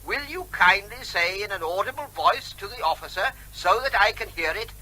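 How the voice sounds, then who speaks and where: An actor playing a mock-polite, evasive neighbour who needles and quibbles in breezy, pedantic fashion in a comic radio play. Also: male voice, high-pitched, expressive, normal speed, sharp tone, full character voice, rough recording. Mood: smug teasing. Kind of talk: comic radio drama acting.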